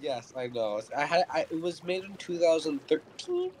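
A man's voice: a little high, expressive, normal speed, a little mellow, slight background noise. A young man chatting over a game, rambling and repeating himself as he tells a story. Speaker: fellow player. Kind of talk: casual gaming chat.

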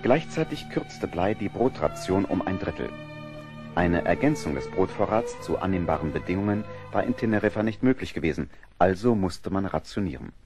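A man narrating a German radio play from a script, in a husky voice. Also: low voice, expressive, moderate pace, over music.

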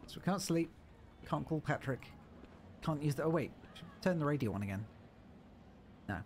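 A man's voice: a little high, expressive, moderate pace, a little hiss or hum. A man streaming a video game, joking and reading the on-screen text aloud as he plays. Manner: playful, jokey, reads game text aloud. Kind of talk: let's-play commentary.

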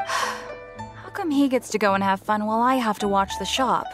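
Disgruntled shopkeeper wife, music behind, played by an actress. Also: female voice, mid-range voice, a little slow, lightly acted. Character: disgruntled female shopkeeper, a put-upon wife. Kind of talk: video game character acting.

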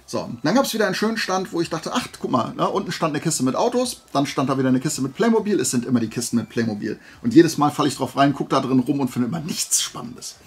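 A man's voice: fairly high pitch, expressive, fast talker, few pauses, husky. A man chatting casually to viewers as a video creator, colloquial and playful, wandering off into little digressions. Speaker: video creator presenting flea market finds. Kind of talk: casual rambling chat to viewers.

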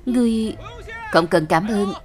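A haughty young princess from a fantasy cartoon, read by a woman, speaks at a medium pitch. Her delivery is proud and dramatic. Music is clearly heard behind her. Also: unhurried, close to an everyday voice. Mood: astonished, haughty. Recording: loud music under the voice.

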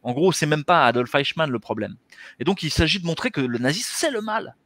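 A man's voice: on the high side, very animated, a little fast, a bit bright, dry recording. A middle-aged man, a guest on an interview show, explaining ideas earnestly over a headset mic on a video call from a small room. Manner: earnest, gestures with his hands while explaining. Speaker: interview guest, anesthesiologist and author. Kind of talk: explanatory conversational interview talk.

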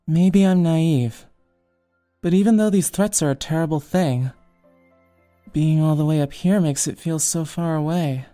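Woman acting a young tinkerer, lowish voice, unassuming, casual, music underneath, comic dub. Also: measured pace, mellow. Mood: wistful, reflective. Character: modest, easygoing young tinkerer.

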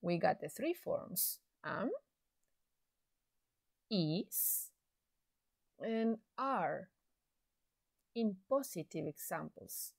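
A woman teaching an English lesson to secondary students, speaking slowly and deliberately with an encouraging classroom manner. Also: on the low side, animated, gravelly, no echo, studio quality. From Chile. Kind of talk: slow recorded classroom lesson.

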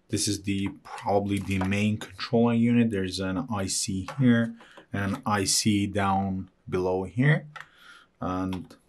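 A man hosting an electronics repair video, walking through a teardown at an unhurried pace and thinking aloud as he goes. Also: on the low side. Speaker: electronics repair channel host. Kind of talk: hands-on repair walkthrough.

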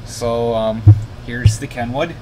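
A man casually demonstrating his radio gear unscripted, haltingly searching for his words. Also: medium pitch, fairly even intonation. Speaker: hobbyist demonstrating shortwave radios. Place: indoor home radio room. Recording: noisy room with air conditioner running. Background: air conditioner hum.

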